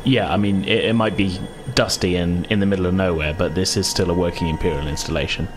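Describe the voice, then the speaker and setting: A man's voice: low voice, fairly even intonation, quick pace, noisy background. A man running a tabletop role-playing game as game master, narrating in a conversational, casual and amused way.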